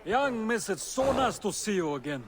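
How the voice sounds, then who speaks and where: Humble horseman servant in a game scene, a bit high pitched, noise behind. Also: sing-song, normal speed. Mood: deferential. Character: deferential horseman serving a young noblewoman. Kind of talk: dramatic game cutscene acting. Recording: clear background noise.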